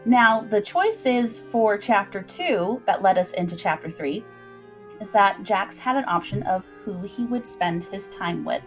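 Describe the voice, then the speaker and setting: A woman's voice: mid-range voice, animated, a little slow, soft background music. A woman hosting a livestream, recapping a story informally and explaining it directly to her viewers in a chatty way.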